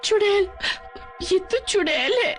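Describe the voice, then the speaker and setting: A woman's voice: very high-pitched, lively intonation, measured pace, a bit bright, voice pushed up, full character voice. A woman voicing a sweet, trusting little sister in a cartoon story, polite and childlike. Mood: amazed. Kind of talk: Hindi cartoon story dubbing. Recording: music behind the voice.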